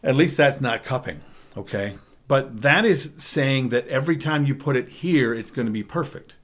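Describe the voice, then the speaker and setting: Man with a big baritone voice. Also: expressive, moderate pace, fairly bright tone. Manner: emphatic, frequent "okay?" check-ins. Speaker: DJ trainer. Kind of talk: spoken presentation.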